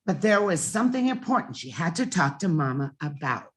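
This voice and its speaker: A woman reading a children's bedtime story aloud in an expressive, emphatic way with deliberate pauses. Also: on the low side.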